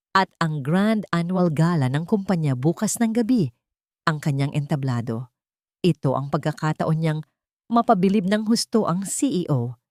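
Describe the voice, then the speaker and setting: A woman's voice: on the low side, darkish tone, no echo, clean studio sound. A woman giving a dramatic scripted narration of a love story.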